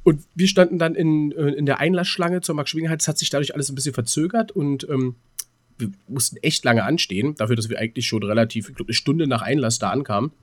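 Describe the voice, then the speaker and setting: A man tells a story as a podcast co-host. His pitch sits in the middle and the sound is close and dry. He talks casually, rambles, and re-enacts who said what. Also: quick pace, no room sound. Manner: rambling, re-enacts remembered dialogue. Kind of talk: casual chat, telling an anecdote.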